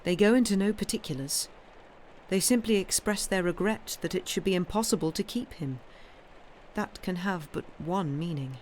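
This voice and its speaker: A woman's voice: fairly low pitch, moderate pace, a little mellow, close to an everyday voice. Woman playing an anxious, formal young governess. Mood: grave. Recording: clearly noisy background.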